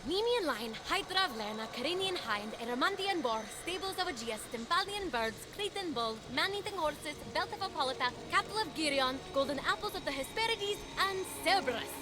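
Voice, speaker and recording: Young game heroine, high-pitched, earnest, plucky, casual and sincere, music behind. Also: female voice, animated, brisk, hardly any pauses. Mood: reciting, determined. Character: earnest, plucky young woman hero in Greek myth.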